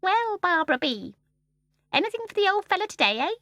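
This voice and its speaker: A woman voicing a bossy, self-important, greedy old male starling with a shrill voice, for a storybook. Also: big pitch swings, brisk, pushed and bright, heavily acted. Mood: wheedling.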